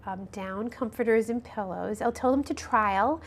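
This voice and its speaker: A middle-aged woman with a somewhat high voice explains allergy care as a nurse practitioner guest. She talks in a measured, hesitant way, pausing on ums and restarting her sentences as she works through each answer. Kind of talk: interview answers on local cable health show.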